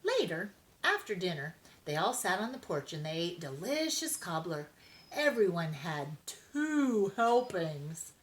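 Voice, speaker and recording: An older woman reading a children's story aloud in an expressive narrating style. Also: on the low side, unhurried. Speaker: storybook reader.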